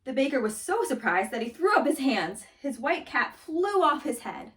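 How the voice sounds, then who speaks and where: A woman reading a children's story aloud in an expressive, dramatic storytelling manner. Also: sits high, moderate pace, far from the mic. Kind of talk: scripted storybook read-aloud.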